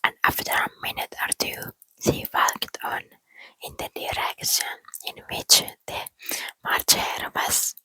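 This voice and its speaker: Softly whispering woman reading a story. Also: a little slow, no room sound, poor audio. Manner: soft steady whisper. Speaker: narrator.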